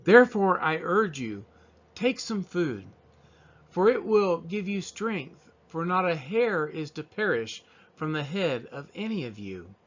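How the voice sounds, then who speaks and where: A man reading scripture aloud as a Bible reader, with measured, expressive phrasing. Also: on the high side. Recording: dull, lo-fi sound.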